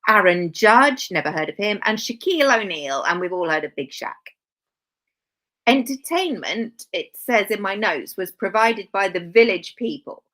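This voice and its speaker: A woman's voice: medium pitch, animated, normal speed, pushed and bright. A woman hosting a live stream, talking casually with dry sarcastic asides and rambling self-corrections.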